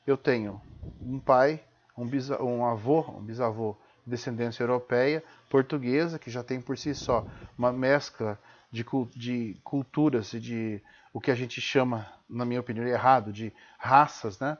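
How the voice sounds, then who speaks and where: A man with a Brazilian accent and a medium-pitched voice speaks as the host of an informal live talk. He is didactic and emphatic, often posing rhetorical questions and checking in with short tags. The audio is dull and low-fidelity. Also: steady tone, normal speed. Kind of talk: informal spoken lecture.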